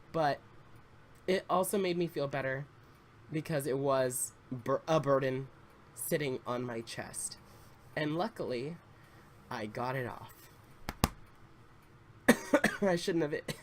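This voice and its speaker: A man in his twenties chatting casually, a bit congested and slightly raspy, rambling with fillers and restarts. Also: a little high, takes it slowly, pauses often, slight background noise. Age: 20s. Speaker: Sims YouTuber. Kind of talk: casual story time chat.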